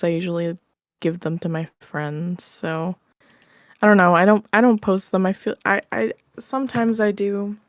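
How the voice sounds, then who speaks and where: A woman's voice: fairly low pitch, no echo, studio quality. A young adult woman chatting casually as an interview guest, giggly and informal, often hedging what she says. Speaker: podcast guest, audio roleplay creator.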